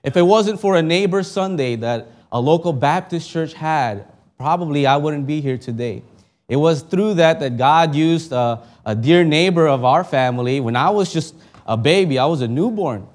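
A young man from the Philippines preaching with earnest conviction, his voice sitting a touch higher than most men's. He reads scripture aloud and prays, lifting his voice for emphasis and dropping it low and soft when he prays. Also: lively intonation, normal speed. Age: young adult. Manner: earnest, heartfelt delivery that rises for emphasis and drops soft in prayer. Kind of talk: sermon with scripture reading and prayer.

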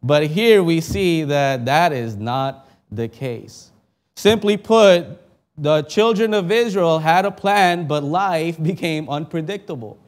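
A young man from the Philippines preaching a sermon, reading scripture and praying in an earnest, heartfelt way that rises for emphasis and drops soft in prayer. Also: on the high side, lively intonation, slow. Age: young adult.

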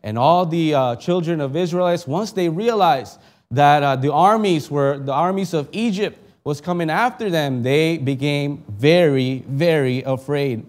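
A young man from the Philippines preaching a sermon, reading scripture and praying in an earnest, heartfelt way that rises for emphasis and drops soft in prayer. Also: on the high side, measured pace. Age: young adult.

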